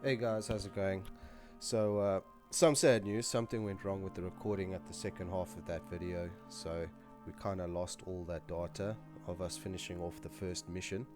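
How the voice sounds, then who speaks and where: Low, nasal man signing off casually. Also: normal speed, few pauses, music in the background. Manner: relaxed, chatty, loose with fillers. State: stuffed-up, under the weather. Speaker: gaming channel host. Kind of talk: casual video outro to viewers.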